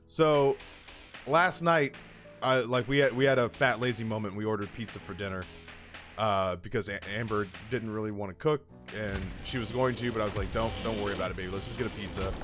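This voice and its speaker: A man around his thirties tells personal stories on a livestream in a casual, comedic, animated way, with profanity and self-deprecation. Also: fairly low pitch, a little fast. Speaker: live streamer telling personal stories. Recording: music behind the voice. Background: background music.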